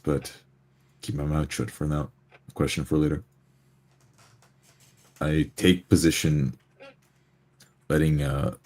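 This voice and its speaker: A man with an extremely deep, rough voice plays a tabletop roleplay. He describes his character's actions very slowly, in halting, deliberate phrases. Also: darkish tone. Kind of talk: first-person character narration in a tabletop game.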